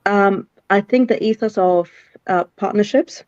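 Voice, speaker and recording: A woman's voice: medium pitch, measured pace, a little mellow, no room sound. Middle-aged woman guest, lots of ums and restarts, muffled dry call sound. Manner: thoughtful, many fillers and restarts. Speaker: CEO guest. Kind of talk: live online interview. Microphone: video call.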